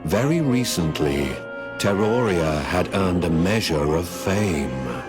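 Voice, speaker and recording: A grim, very low-voiced narrator of a dark fantasy tale, telling the story in a measured, somber tone. Loud game music swells beneath his voice. The voice is deep and heavy. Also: expressive.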